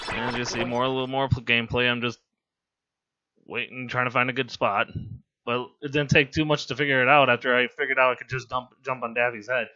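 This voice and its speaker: Hoarse male game commentator, casual and amused, bright-edged voice, muffled lo-fi recording. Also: mid-range voice, little pitch movement, fairly quick. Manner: rambling, amused and self-deprecating. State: hoarse from laryngitis. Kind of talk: casual gameplay commentary voice-over.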